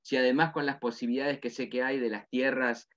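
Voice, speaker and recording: An older man with an Argentine accent, interviewing over a video call, chatty and animated. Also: mid-range voice, a little fast, highs cut off. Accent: Argentine Spanish. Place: office with bookshelves, indoors. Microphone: webcam mic on a video call.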